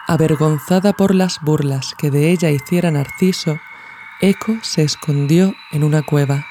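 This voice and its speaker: A man from reads a scripted literary audio essay in a measured, formal way. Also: fairly high pitch, mellow, slight background noise. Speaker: podcast narrator. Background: music.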